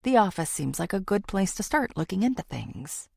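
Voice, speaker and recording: A woman playing a thoughtful, determined amateur sleuth, narrating a thriller audiobook in an introspective, expressive way. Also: mid-range voice, normal speed, gravelly, no room sound, studio quality. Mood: pensive, determined.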